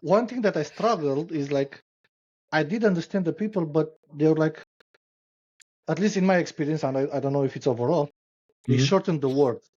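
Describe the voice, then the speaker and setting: A man's voice: on the high side, moderate pace, dark tone. A man with a Russian accent, a non-native English speaker hosting a casual podcast interview, searching for words as he goes. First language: not English. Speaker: podcast host. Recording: slightly dull lo-fi sound.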